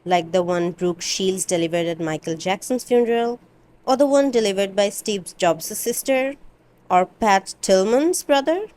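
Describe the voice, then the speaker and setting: A woman reading a first-person novel aloud in an expressive, dramatic amateur audiobook style. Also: mid-range voice, a little slow. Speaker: amateur book reader.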